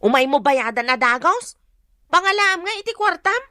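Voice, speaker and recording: A woman with an Ilocano accent playing a brisk, pressing daughter who collects debts in a radio drama. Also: sits high, animated, bright, forceful tone, dry recording. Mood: insistent. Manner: pressing, questioning.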